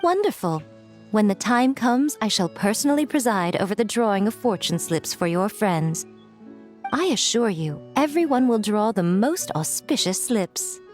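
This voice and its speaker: A woman's voice: mid-range voice, expressive, faint music behind, lightly acted. A woman acting an elegant, playful and sly head of a shrine with a genteel, teasing delivery in a video game. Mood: pleased, sly. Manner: genteel, teasing, drawn-out phrasing.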